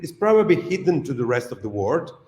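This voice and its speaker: Male interviewer, mid pitch, halting delivery, roomy video-call sound. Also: very animated, a little mellow. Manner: hesitant, many "uh" fillers and restarts. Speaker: interviewer for a craft school. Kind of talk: interview questions and comments.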